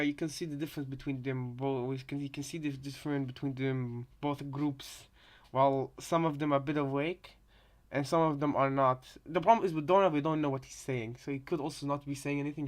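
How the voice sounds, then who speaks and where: A man's voice: mid-range voice, steady tone, a little fast. A man giving casual reaction commentary, hesitant and repeating himself as he thinks aloud. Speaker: reactor, fan theorist.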